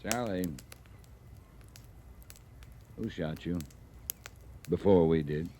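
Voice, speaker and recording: Low-voiced, gruff cavalry captain. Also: male voice, a little slow, dark tone. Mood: gruff concern. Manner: terse, dry, blunt. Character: gruff, dry-humored US cavalry captain on the frontier. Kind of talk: 1950s radio western acting. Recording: old radio recording with background noise.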